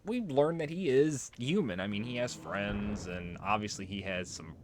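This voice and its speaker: A man's voice: lowish voice, lively intonation, moderate pace, noisy background. A man giving casual, rambling gameplay commentary, explaining things as he plays and swearing freely. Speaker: let's play host.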